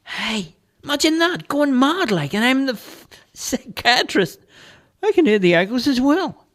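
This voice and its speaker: Guy doing a puzzled shrink, high and quizzical, swoopy. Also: sing-song, unhurried, fairly bright tone, full character voice. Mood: incredulous. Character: bemused male psychiatrist. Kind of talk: amateur play read aloud.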